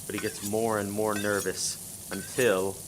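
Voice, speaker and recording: A man's voice: fairly low pitch, level tone, measured pace, slight background noise, close to an everyday voice, low-quality recording. A man giving scripted narration for a radio play as its narrator.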